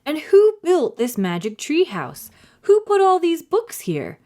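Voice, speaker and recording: A woman's voice: sits high, huge pitch swings, measured pace, mellow, pitched above the performer's own voice, exaggerated voice. A woman voicing a cautious, wondering young boy in a children's storybook reading. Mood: puzzled.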